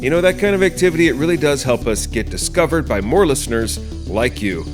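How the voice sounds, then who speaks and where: A man with a medium-pitched voice hosts a podcast in a conversational presenting style. Music plays clearly behind him as he speaks. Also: animated, fast talker. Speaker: podcast host. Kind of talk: podcast hosting and sign-off.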